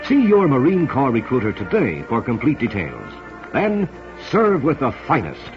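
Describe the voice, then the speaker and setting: Animated American male vintage radio announcer. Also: medium pitch, moderate pace. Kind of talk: formal mid-century radio announcing, scripted. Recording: muffled vintage radio broadcast recording. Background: music.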